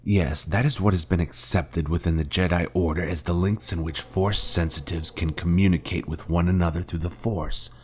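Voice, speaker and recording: A very deep male voice carries an actor's performance of a grave fallen-order Jedi mentor. He is solemn and deliberate, posing weighty rhetorical questions in the scripted style of a dramatic video game. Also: steady tone, a little mellow, a little hiss or hum, close to an everyday voice. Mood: grave, probing. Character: grave former Jedi Master confronting his fallen Padawan. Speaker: game character.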